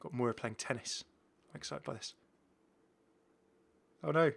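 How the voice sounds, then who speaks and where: A man's voice: mid-range voice, moderate pace, vocal fry, a bit bright. A man hosting a gaming channel, giving casual, chatty and enthusiastic commentary as he shows off game content. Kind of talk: casual gameplay showcase commentary.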